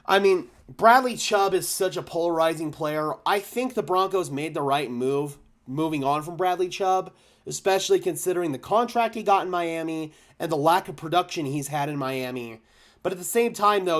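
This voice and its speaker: Slightly hoarse, excitable man on a stream. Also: a little high. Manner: excitable, thanks donors loudly. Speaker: fan channel host. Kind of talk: live stream chat reacting to donations.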